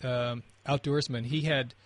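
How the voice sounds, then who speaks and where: A man's voice: medium pitch, fairly even intonation, unhurried, dry recording. A man asking interview questions, often stumbling and repeating words as he starts.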